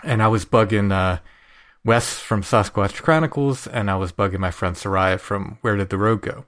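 A man's voice: on the low side, normal speed, a bit bright. A man who is an author and podcaster, answering interview questions in a rambling way, often restarting his sentences.

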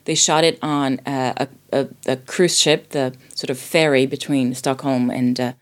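Woman with a low voice, informal podcast-style film chat, thinks out loud and rephrases often. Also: fairly even intonation, a little slow. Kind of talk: casual film discussion.

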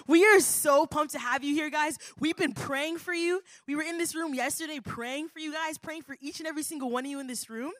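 A young adult woman pastor giving an energetic, playful youth workshop talk, slangy and laughing often. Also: high-pitched, dry recording. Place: conference room with youth audience.

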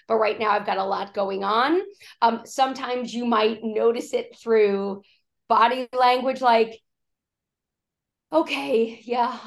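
A woman executive coach, hoarse from a cough, talking in a podcast interview and acting out quoted speech as she tells stories. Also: a little high. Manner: animated storytelling, acts out quoted speech.

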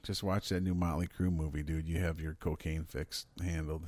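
Deep-voiced excitable man chatting. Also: normal speed, no echo. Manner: excitable, swearing, riffing on questions. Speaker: podcast co-host. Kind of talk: casual chat.

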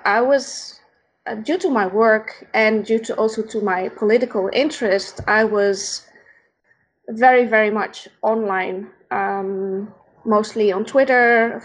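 A Dutch woman with a Dutch accent, a podcast guest who speaks in a halting, thoughtful way while searching for words. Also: a little high, poor audio. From Netherlands. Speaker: podcast guest, founder of an organization. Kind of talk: casual interview conversation.

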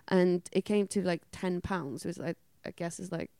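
Icelandic-accented woman, smooth clear voice. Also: fairly low pitch, normal speed, warm tone, no echo. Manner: laughs often, quotes herself in a raised voice. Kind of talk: casual chat.

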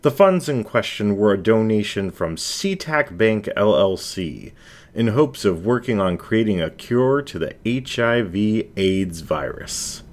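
Fox news presenter in a story, read by a male narrator: deep, formal, unhurried bulletin delivery. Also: animated. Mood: reporting.

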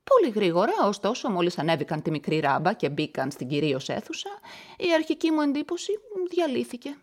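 An actress narrating a radio play in the first person as a nervous events manager, often dropping into confiding whispers. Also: female voice, mid-range voice, very animated. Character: nervous, talkative female events manager caught up in a murder.

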